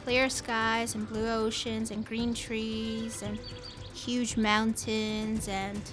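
A woman's voice: a little high, flat delivery, takes it slowly, music in the background. A woman playing a starship captain and mother in an audio drama, telling stories in a plainspoken way. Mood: tender, reflective. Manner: plainspoken, storytelling to a child.

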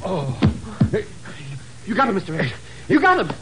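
Comic sidekick for old radio: boyish, slangy, very high and wildly swooping. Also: male voice, huge pitch swings, moderate pace. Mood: alarmed. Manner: slangy, gushing. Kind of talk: 1950s radio drama acting. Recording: noisy old radio recording.

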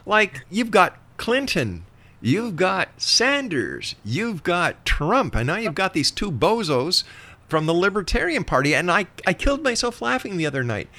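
Animated, bright-voiced male interview host. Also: high-pitched. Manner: emphatic, repeats phrases for effect. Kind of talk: conversational interviewing and opinionated banter.

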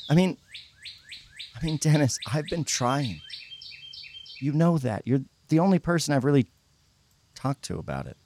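A man's voice: fairly high pitch, sing-song, measured pace, pauses often, darkish tone, slight background noise. An actor playing a troubled, guilt-ridden young churchgoing man, speaking hesitantly and stammering in a naturalistic audio drama. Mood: anguished, confessing.

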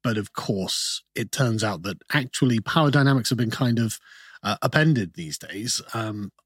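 Guy, kinda husky, talking things through slow and careful, dead dry mic. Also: fairly low pitch, dry recording. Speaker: guest commentator. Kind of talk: podcast interview. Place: studio.

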